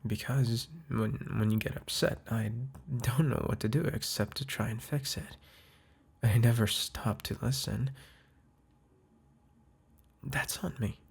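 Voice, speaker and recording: Husky gravelly man whispering softly. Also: mid-range voice, a little slow, pauses often. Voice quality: husky, breathy, often whispering. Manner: soft, hesitant, tender, with sighs and restarts. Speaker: boyfriend in a roleplay audio. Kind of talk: intimate apology roleplay to the listener, ASMR-like.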